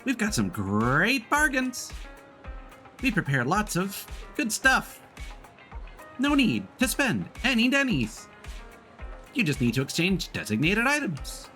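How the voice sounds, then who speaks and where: Man as a small game shopkeeper creature, high-pitched, chirpy, eager, sales-pitch delivery, music behind. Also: wildly swooping pitch, measured pace, pauses often, bright, forceful tone, full character voice.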